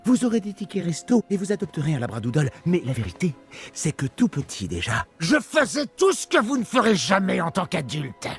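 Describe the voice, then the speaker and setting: A man's voice: sits high, big pitch swings, fairly quick, faint music behind. A man voicing an arrogant, theatrical mad-genius doctor in a film dub, sneering and menacing with pompous, mocking speech. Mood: scornful. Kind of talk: French film fan dub acting.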